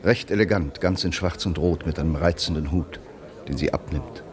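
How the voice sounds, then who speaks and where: An actor playing a grave, enraptured poet who narrates a radio drama in a measured, literary, reflective manner. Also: male voice, sits low, close to an everyday voice. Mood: quietly observant. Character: grave, enraptured male poet recalling his muse.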